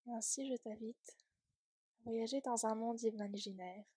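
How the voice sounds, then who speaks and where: A woman leading a guided yoga nidra relaxation in a soft, breathy, soothing voice, gently trailing off at times toward a whisper. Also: on the high side, fairly even intonation, moderate pace, no room sound, clean studio sound.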